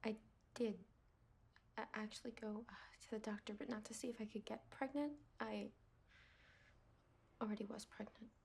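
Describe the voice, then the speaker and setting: A young woman playing a nervous partner who confesses a secret, stammering in hushed tones. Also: on the high side, steady tone, normal speed, creaky, pauses often, slight background noise. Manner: hesitant, stammering whispers turning to defiant shouts. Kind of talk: scripted short-film drama.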